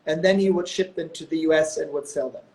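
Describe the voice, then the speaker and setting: A man's voice: fairly high pitch, steady tone, quick pace, darkish tone, rough recording. A man in his thirties from Germany, telling stories as a guest on a live video call, chatty and full of "you know" and "um". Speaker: guest, company executive.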